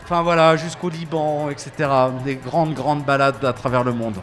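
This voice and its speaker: A man's voice: fairly high pitch, moderate pace, faint music behind. A man in his fifties from France, an association organizer chatting on a panel with many fillers and restarts. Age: 50s. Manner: animated, hesitant with many fillers. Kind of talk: casual panel chat.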